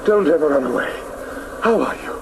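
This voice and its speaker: A gentle, anxious father in a radio play. His voice is a little high and full of movement, tender and emotional. Noise runs clearly under the recording. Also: animated, fairly quick. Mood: relieved, tender.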